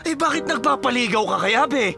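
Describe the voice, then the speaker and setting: A man acts out a middle-aged Filipino farmer father for radio drama, his voice pitched high over background music. He is stern and resentful, scolding with heavy emphasis. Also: brisk, creaky. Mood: angry scolding.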